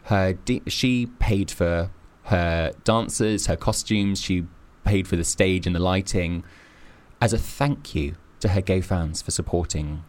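A man's voice: low voice, unhurried, a little mellow. A man co-hosting a casual podcast chat, making his case emphatically and at length. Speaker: podcast co-host.

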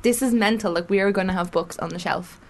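A woman's voice: mid-range voice, fast. Giggly Irish woman chatting in a studio. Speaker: guest. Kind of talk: casual chat. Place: radio studio.

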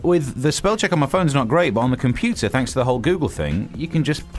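A man's voice: mid-range voice, very animated, fast talker, soft background music. A man co-hosting a radio show in casual banter, joking and mocking himself. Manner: joking, self-mocking, laughs often. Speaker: radio co-host.

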